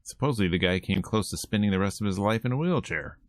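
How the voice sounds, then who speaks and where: Deep-voiced guy reading a game aloud. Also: animated, fast talker, no echo, clean studio sound. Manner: breaks off into wry asides and reactions. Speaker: streamer playing and reading a visual novel aloud. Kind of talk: reading game dialogue aloud with casual commentary.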